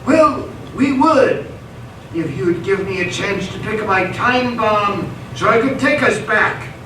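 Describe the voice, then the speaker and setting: Man acting a high, sardonic supervillain in an echoey hall. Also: unhurried, bright, forceful tone. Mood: sarcastic, grumbling. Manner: sardonic, exasperated. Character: grumbling sarcastic male supervillain with gadgets, reluctant and wary. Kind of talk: live radio theatre acting. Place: stage performance in a roomy hall. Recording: echoey hall, distant mic, faint noise.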